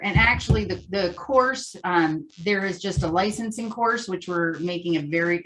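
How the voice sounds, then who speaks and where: A woman's voice: on the low side, rough recording. A woman speaking casually as a guest in a business interview. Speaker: interview guest, franchise course presenter.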